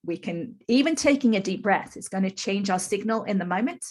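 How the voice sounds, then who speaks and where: A woman's voice: medium pitch, lively intonation, a little fast, a little mellow. A woman in her fifties, a podcast guest and spiritual teacher, explaining her experience in an earnest, flowing way. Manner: earnest, explanatory, speaks in long flowing runs. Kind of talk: conversational storytelling interview.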